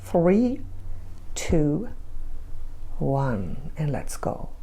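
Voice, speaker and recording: A woman's voice: low voice, big pitch swings, very slow, slight background noise, low-quality recording. A woman giving an intuitive tarot reading and guiding a visualization, speaking emphatically and often repeating herself, with a sore throat and a cough. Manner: emphatic, repetitive, with soft hushed stretches when guiding. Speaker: tarot reader.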